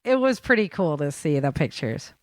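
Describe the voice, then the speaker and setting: A woman's voice: low voice, sing-song, moderate pace, dry recording. A woman hosting a talk show, running through news updates in a chatty, informal way. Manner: chatty, informal, addresses listeners as guys. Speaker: show host.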